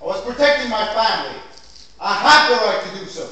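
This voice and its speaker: Casting: man as pleading defendant, very high bright voice, theatrical and loud. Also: very animated, brisk, exaggerated voice. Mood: desperate. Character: desperate defendant pleading self-justification in court. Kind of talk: theatrical courtroom drama. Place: indoor theatre stage. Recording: echoey theatre, distant mic.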